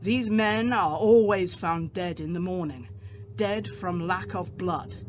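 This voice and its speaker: An actor playing a grave, earnest older doctor and vampire hunter in a radio drama, speaking in a solemn, formal, deliberate way. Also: male voice, sits high, noisy background. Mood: grave, urgent.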